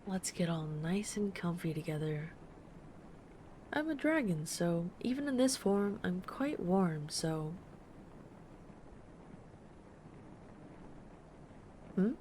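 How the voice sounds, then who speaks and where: A woman playing a gentle, affectionate dragon friend in an intimate comforting audio roleplay, speaking softly and tenderly to the listener. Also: lowish voice, sing-song, slight background noise. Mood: caring, reassuring. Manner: soft-spoken, tender, unhurried reassurance. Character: gentle, affectionate female dragon friend who loves soft, cuddly plushies.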